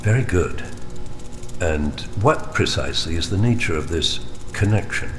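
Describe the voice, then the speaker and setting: An actor playing a menacing, silkily polite starship captain who probes like an interrogator in a sci-fi audio drama. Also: male voice, low voice, animated, over music. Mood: coaxing. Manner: measured, courteous, probing interrogator.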